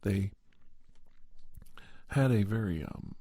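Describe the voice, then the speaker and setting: A man playing a calm doctor who recounts a monster hunt as ASMR horror storytelling, in a measured, halting, deliberate way. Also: lowish voice, husky, no echo, clean studio sound, heavily acted. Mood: measured, reflective.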